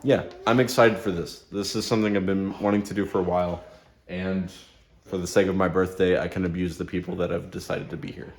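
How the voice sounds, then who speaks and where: A man's voice: sits low, flat delivery, a little fast. A young man giving casual instructions to a group as the writer leading a script table read. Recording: echoey room, far mic, group chatter.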